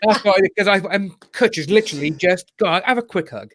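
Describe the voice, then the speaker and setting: A man's voice: high voice, animated, a little fast, noisy background. A man in his thirties from Wales with a Cardiff accent, chatting as a guest on a video call, jokey, laughing often and calling people "mate". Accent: Cardiff Welsh. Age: 30s. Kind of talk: casual chat.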